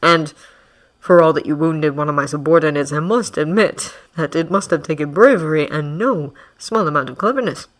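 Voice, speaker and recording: A woman voicing a calm, manipulative man who speaks evenly and softly. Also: sits low, normal speed, lightly acted. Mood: coaxing. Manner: even, soft, coaxing. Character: calm manipulative male villain, soft-spoken.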